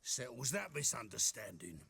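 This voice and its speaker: A man's voice: medium pitch, big pitch swings, normal speed, vocal fry, sharp tone, breathy edge, exaggerated voice. An actor playing a drunken, cowardly male fire eater in a video game, blustering and defensive. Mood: indignant.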